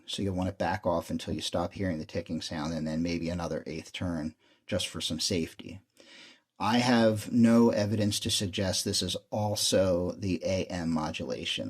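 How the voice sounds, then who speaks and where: A man with a husky voice giving an unscripted, matter-of-fact hands-on technical tutorial as the host. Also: sits low. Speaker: host demonstrating radio repair.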